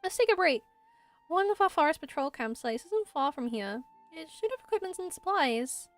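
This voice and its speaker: A woman's voice: very high-pitched, very animated, normal speed, dry recording. A woman voicing an earnest, caring young female forest ranger in video game dialogue, polite and encouraging. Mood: casual, informative. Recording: music behind the voice.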